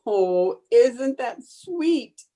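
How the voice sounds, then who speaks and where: A woman playing an excitable, scheming housewife in an old-time radio sitcom, with a theatrical, gushing manner. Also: fairly high pitch, extremely slow, heavily acted. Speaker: amateur radio player.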